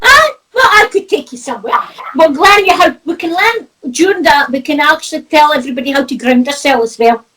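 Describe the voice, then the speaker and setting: Lively high-voiced Scottish woman. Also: fairly quick, distant mic. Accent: Scottish (Doric). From Scotland. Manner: chatty, laughing, tells anecdotes with lots of "you know". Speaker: spiritual medium and host. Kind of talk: casual chat about spirituality.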